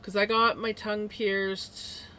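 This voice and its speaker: Woman, slight lisp, bright voice, casual live-stream chat, rambling, fillers, small laughs. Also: mid-range voice, level tone, slow, a little hiss or hum, low-quality recording.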